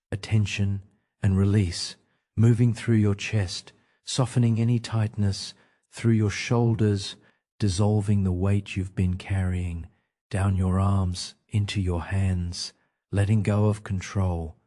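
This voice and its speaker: A man narrating a guided sleep meditation in a gentle, soothing manner, leaving pauses between short phrases. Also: low voice.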